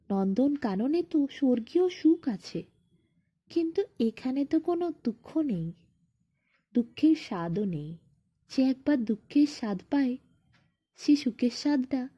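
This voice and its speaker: A woman narrating a bedtime fairy tale expressively, in short phrases with drawn-out dramatic pauses. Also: high voice, mellow, breathy edge, dull audio. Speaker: storyteller.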